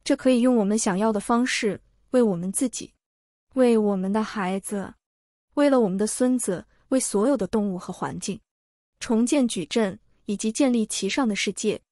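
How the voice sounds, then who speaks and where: A woman's voice: medium pitch, normal speed, dark tone, no echo, studio quality, lightly acted. A woman dubbing an earnest female speaker in a documentary monologue. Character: earnest woman calling for human unity. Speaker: documentary dub voice.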